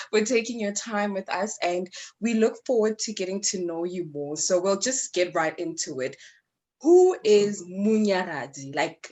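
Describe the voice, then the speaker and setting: A woman hosting an interview, chatty and excitable, laughing often as she asks questions. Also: medium pitch. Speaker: host.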